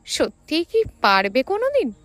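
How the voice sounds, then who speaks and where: A woman's voice: high-pitched, huge pitch swings, normal speed, soft background music. A woman narrating a scripted romantic story in a dramatic storytelling style.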